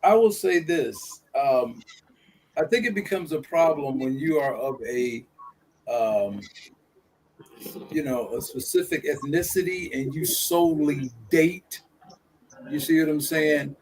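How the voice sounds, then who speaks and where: A man holds forth in an easygoing discussion. His voice sits high for a man. He circles back and repeats his key phrases with a preacher's rhythm. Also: takes it slowly, pauses often, a little mellow, slight background noise, poor audio. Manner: preachy, repeats points for emphasis. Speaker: guest speaker. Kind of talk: casual discussion.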